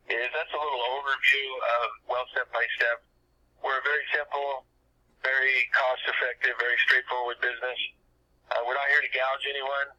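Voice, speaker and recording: A man's voice: mid-range voice, sharp tone, poor audio. A man informally presenting and taking questions as host of a business conference call, speaking plainly and often rephrasing himself, recorded over the telephone. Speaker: business owner hosting a team conference call.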